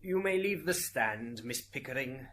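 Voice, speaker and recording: A man's voice: mid-range voice, expressive, unhurried, a bit bright. A man acting an authoritative afterlife judge, formal and commanding, in an amateur radio drama. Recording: hissy amateur recording, background noise.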